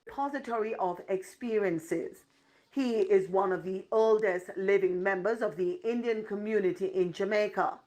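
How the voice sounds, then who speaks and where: A woman's voice: mid-range voice, moderate pace. A woman giving formal scripted narration for a news report. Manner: formal, even news delivery.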